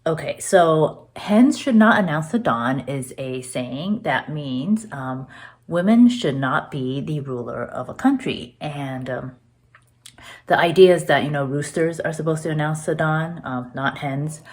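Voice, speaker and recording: A woman's voice: sits low, a little slow, darkish tone. A woman author presents a trivia question in a hesitant way, full of ums and uhs.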